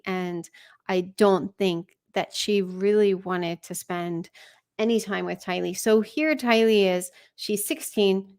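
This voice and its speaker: A woman's voice: mid-range voice, a little mellow. A woman hosting a true crime live stream, giving informal, conversational commentary with wry asides. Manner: conversational, wry asides, acts out read messages.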